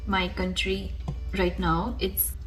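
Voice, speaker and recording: A woman's voice: lowish voice, level tone, slow, a bit bright, faint music behind. A young woman whose first language is Gujarati talks casually through an online application, explaining each step as she clicks. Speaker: tutorial host. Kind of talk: screen-recorded walkthrough.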